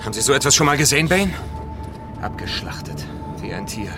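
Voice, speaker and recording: An actor playing a skeptical, blunt and suspicious investigator in a German radio drama, probing and sardonic. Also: male voice, medium pitch, animated, fairly quick, close to an everyday voice. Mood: shaken, suspicious. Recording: loud music behind the voice.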